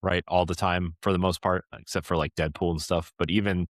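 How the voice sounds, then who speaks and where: A man's voice: low voice, little pitch movement, a little fast, no room sound, studio quality. A man hosting a podcast in casual discussion, rambling along and often checking in with a tag question. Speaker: podcast host.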